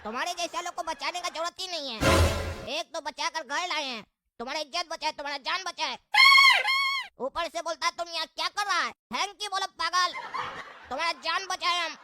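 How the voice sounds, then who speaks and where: A high-pitched male cartoon rascal, cheeky and exasperated. He teases and scolds over loud noise and clicks. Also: normal speed. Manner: teasing, exasperated. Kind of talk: Hindi comedy cartoon dialogue.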